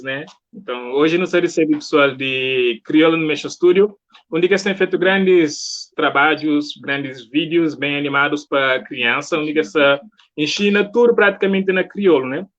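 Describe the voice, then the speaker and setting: A male host with a Cape Verdean accent and a slightly high voice. He talks informally and welcomingly, as in a casual live online interview. The sound is somewhat muffled and lo-fi, like a stream. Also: fairly bright tone.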